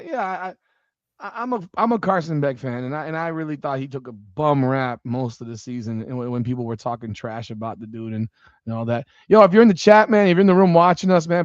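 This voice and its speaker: A man in his forties hosting a casual sports podcast, animated and swearing freely as he rambles like a fan. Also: on the high side, fairly quick, no echo. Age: 40s. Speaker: podcast host. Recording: dull dry lo-fi sound.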